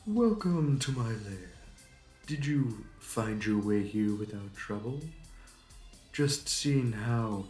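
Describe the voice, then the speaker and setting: Man playing a slow, smug, theatrical supervillain. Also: on the low side, darkish tone. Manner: theatrical, taunting, drawn-out delivery. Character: suave, smug male supervillain, mocking and flirty. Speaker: voice actor. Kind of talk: theatrical audio roleplay performance. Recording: roomy echo, distant mic, music behind.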